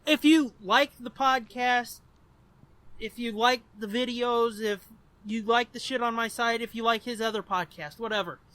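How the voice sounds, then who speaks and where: A man's voice: extremely high pitch, normal speed, sharp tone, no echo. A man co-hosting a podcast in casual, profane, rambling chat. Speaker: podcast co-host.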